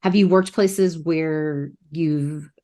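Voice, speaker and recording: A woman's voice: low-pitched, fairly even intonation, very slow, warm tone. A woman co-hosting a podcast who asks her question hesitantly, searching for words. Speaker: podcast co-host. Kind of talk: casual interview question.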